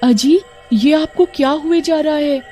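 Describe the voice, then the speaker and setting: A woman's voice: high voice, measured pace, mellow, faint music behind, voice pushed up. A woman voicing a frightened, repulsed village wife in a cartoon story, sounding alarmed. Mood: alarmed disgust. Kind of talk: animated story dialogue.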